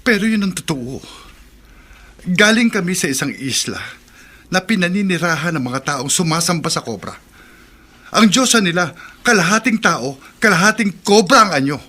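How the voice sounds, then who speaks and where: A man's voice: high voice, expressive, normal speed, pauses often, pushed and bright, exaggerated voice. A man acting an agitated, insistent character with an urgent, dramatic delivery in a Filipino horror radio drama. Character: agitated, insistent young man haunted by a cobra woman.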